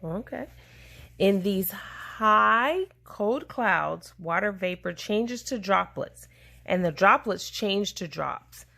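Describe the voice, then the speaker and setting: A woman reading a children's science book aloud to a child in a deliberate, explaining way, pausing to answer questions. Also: mid-range voice, animated, creaky, bright, forceful tone.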